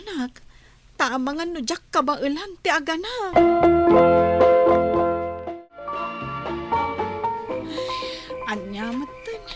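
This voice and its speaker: A woman playing an anxious pregnant young wife in an audio drama. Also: high voice. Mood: uneasy. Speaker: voice actor. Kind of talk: dramatised audio story acting.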